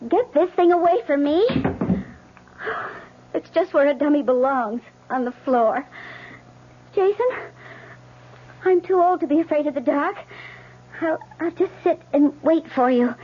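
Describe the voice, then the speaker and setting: A woman's voice: extremely high pitch, lively intonation, measured pace, pauses often. An actress playing a headstrong, anxious woman, insistent and dramatic, in old radio drama style. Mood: nervous, fearful. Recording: dull, hissy old radio recording.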